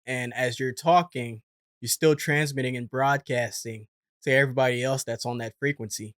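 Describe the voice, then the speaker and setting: A man hosts with an informal, conversational way of explaining. His voice sits at a middle pitch. The sound is dry and close, with very little room around it. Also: fairly even intonation, moderate pace, fairly bright tone, no echo. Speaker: host, former military air traffic controller. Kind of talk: casual explanatory commentary.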